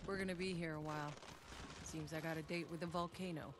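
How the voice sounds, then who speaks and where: An actor playing a weary, wry mercenary hero in a video game, speaking laconically. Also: male voice, a little high, fairly even intonation, fairly quick, lightly acted. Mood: wry, resigned. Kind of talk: video game cutscene acting. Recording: clear ambient noise behind voice.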